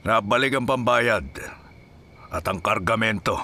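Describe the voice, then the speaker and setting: A man playing a brisk, concerned senior police officer in an action drama. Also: on the low side, pushed and bright, exaggerated voice. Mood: businesslike, concerned. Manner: questioning, official.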